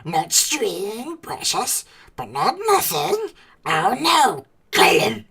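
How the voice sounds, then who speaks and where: A man voicing a wretched, wicked cave creature with a raspy, hissing voice, whining and muttering to himself in a storybook reading. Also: very high voice, huge pitch swings, slow, bright, forceful tone, voice pushed up, full character voice. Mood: wheedling, sly. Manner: whiny muttering to himself, erratic and drawn out. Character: miserable, wicked, slimy cave creature, wheedling and resentful.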